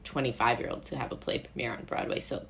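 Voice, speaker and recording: A woman's voice: extremely low pitch, fairly even intonation, quick pace, gravelly, fairly bright tone. A young American woman chatting casually as a podcast guest, rambling through anecdotes and quoting imagined remarks. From Brooklyn, New York, USA. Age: young adult. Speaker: podcast guest, playwright and actor. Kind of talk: casual chat.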